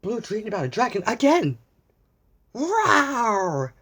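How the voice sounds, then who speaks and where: A children's story time host narrates a picture book in a very animated, slow-paced way. Little asides and repeated phrases are addressed straight to young listeners. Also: medium pitch, slightly rough.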